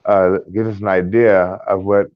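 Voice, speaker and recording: Low-voiced American man on a tinny line. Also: flat delivery, no room sound. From United States. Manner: rambling, halting, many fillers and restarts. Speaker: podcast co-host. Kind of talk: casual chat.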